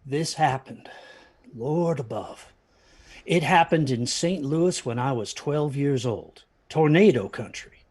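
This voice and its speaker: A man's voice: medium pitch, animated, takes it slowly, darkish tone, breathy edge. An actor playing a protective, haunted father who tells his children a ghost story in a hesitant, earnest way, in an audio drama. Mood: haunted, reflective. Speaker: storyteller narrator.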